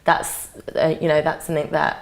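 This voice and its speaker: A woman's voice: low-pitched, completely flat delivery, brisk, gravelly, low-quality recording. A young woman, a guest chatting casually and rambling with lots of fillers. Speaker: guest, daughter. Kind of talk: casual chat.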